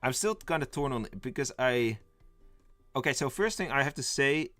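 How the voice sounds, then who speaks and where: A man's voice: medium pitch, lively intonation, normal speed, sharp tone, no room sound, faint music behind. A young man, a native Dutch speaker, streaming live in casual chat, rambling and thinking aloud as he reads the chat and lists game roles.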